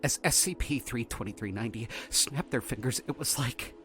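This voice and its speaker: A man playing a shaken, earnest researcher in an audio drama, giving halting, haunted, stammering testimony. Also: mid-range voice, fairly bright tone, faint music behind, close to an everyday voice. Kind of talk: dramatic first-person audio drama narration.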